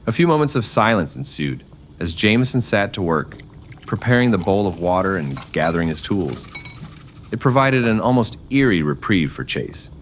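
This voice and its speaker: A man's voice: deep voice, very animated, normal speed, a little hiss or hum. A man narrating a scripted Western story in the manner of old-time radio drama.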